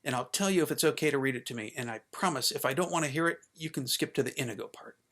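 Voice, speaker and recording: A man voicing an upset, stubborn young boy in a story, emotional, insistent and halting as he pleads with his father. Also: medium pitch, a bit bright, close to an everyday voice. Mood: frustrated.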